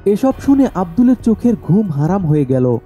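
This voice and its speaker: A man's voice: sits high, very animated, normal speed, dark tone, slightly rough, highs cut off, soft background music. A man narrating a scripted horror story as the storyteller.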